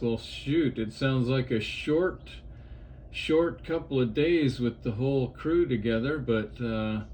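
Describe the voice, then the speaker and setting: A man in his fifties with a grandchild, chatting in a relaxed, friendly way on a ham radio call. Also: medium pitch, a little hiss or hum. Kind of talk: casual radio chat.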